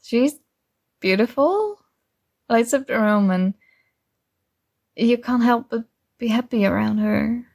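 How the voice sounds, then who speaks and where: A woman plays a young female best friend who is sweet, earnest and completely oblivious. Her voice sits a little high and she takes her time over each phrase. It is soft ASMR roleplay, bubbly, with small hesitant pauses. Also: lively intonation, creaky, exaggerated voice. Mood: supportive, upbeat.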